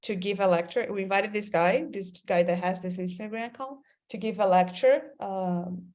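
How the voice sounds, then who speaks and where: A woman's voice: medium pitch, moderate pace, darkish tone. A woman from Brazil with a Brazilian Portuguese accent, speaking English as an interview guest, thoughtful and full of fillers and restarts. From Brazil, Amazon region. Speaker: creative director and club president.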